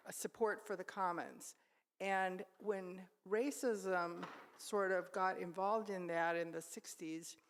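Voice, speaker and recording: Woman, older, participant in a church class, offering a thoughtful, unscripted comment at natural mid pitch.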